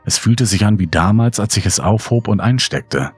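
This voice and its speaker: A man reading a horror story as scripted dramatic audiobook narration, grave, measured and brooding. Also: fairly low pitch, expressive, husky, no room sound. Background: faint music.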